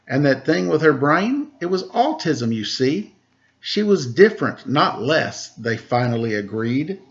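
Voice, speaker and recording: A man reading a rhyming picture book aloud, lilting and expressive in the verses, relaxed and chatty in the asides. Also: fairly high pitch, dull audio.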